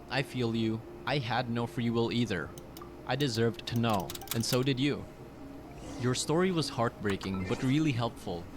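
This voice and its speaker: A man reading written personal posts aloud as a scripted narrator. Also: mid-range voice. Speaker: narrator of online relationship stories. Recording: clearly noisy background.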